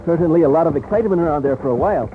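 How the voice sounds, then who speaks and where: Guy playing polite agreeable sidekick, highish voice, muffled old radio western. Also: expressive, rapid-fire, natural voice. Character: polite, agreeable Mexican helper to a gunfighter. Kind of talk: 1950s radio western acting. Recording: lo-fi old radio recording, faint noise.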